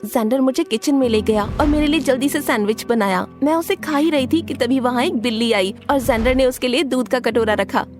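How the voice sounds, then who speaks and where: Animated story lead: high-voiced, guarded teen schoolgirl played by a woman, dramatic first-person narration, light music underneath. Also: fairly quick, few pauses, close to an everyday voice. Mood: narrating, confiding. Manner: expressive, dramatic. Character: guarded, feisty teenage schoolgirl narrating her own story.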